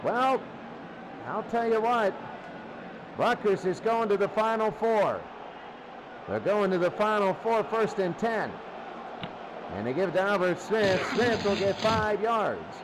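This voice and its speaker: A man's voice: sits high, expressive, slow, long pauses. A man doing play-by-play football commentary in a radio style, repeating phrases for emphasis. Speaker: play-by-play sports announcer. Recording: clearly noisy sound.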